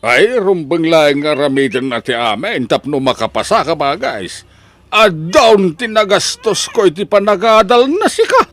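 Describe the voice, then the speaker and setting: Man playing animated, proud Filipino farmer father. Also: a little high, a little slow, no echo. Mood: hopeful, proud. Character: proud, hardworking older farmer father, doting on daughter. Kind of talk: Ilocano audio drama acting. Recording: faint background noise.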